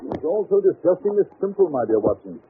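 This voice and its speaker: Shrewd, commanding sleuth: lively, swooping, clipped theatrical delivery, old radio drama on a tinny recording. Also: male voice, high voice, fast, slight background noise. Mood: explaining, wry. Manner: clipped, theatrical, giving orders. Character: brisk, commanding, shrewd male detective.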